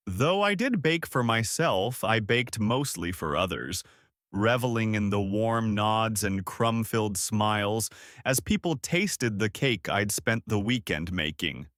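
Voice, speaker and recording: A man reading a personal essay aloud in a steady read-aloud delivery. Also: medium pitch, no echo, studio quality. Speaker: essay reader.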